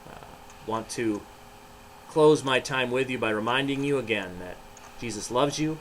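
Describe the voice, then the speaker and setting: A man's voice: mid-range voice, normal speed, slight background noise. A man, a pastor, reading a story and talking to children in a dramatic, emphatic way. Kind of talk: animated storybook narration and children's devotional.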